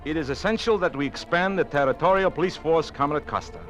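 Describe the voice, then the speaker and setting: An actor playing a cold, humorless Soviet marshal in an old-time radio drama, giving orders in an imperious, formal, clipped manner. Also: male voice, a little high, fast, loud music behind the voice, close to an everyday voice. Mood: brisk command.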